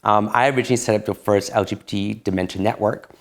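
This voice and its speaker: A man's voice: lowish voice, steady tone, quick pace. A man, telling his personal story in an interview, candid and reflective. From Barbados. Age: late 30s.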